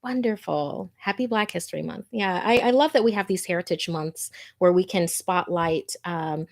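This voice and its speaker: A woman hosts an informal scary story show in a slightly low voice. She is chatty and relaxed in wording, moving between addressing viewers and reading what they sent in. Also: normal speed, gravelly. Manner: chatty, informal, easily amused. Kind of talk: casual livestream chat and reading listener messages and stories aloud.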